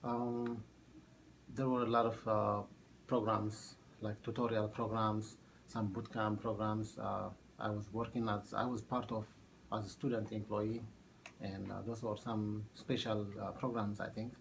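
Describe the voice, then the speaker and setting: A man in his thirties from Ethiopia, a math teacher answering oral history questions in a halting way with many fillers. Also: on the low side, little pitch movement, gravelly, slight background noise, rough recording. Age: 30s.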